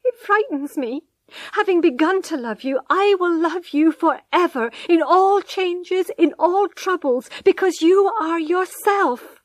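A woman voicing a distraught young wife who pleads with her husband in a high, loud voice. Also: animated, a little slow, dry recording. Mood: pleading.

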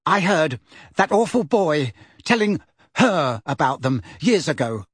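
A man voicing a prim, anxious middle-aged aunt in an audiobook, breathy and jittery. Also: sits high, big pitch swings, a little fast, a bit bright, dry recording, clean studio sound, pitched above the performer's own voice, heavily acted. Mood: flustered.

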